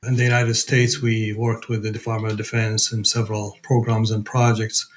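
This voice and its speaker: A man's voice: lowish voice, completely flat delivery, normal speed, a little hiss or hum. A man from Iraq with an Iraqi accent, talking conversationally as a podcast guest and searching for his words. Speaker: podcast guest, program director. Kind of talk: conversational personal account.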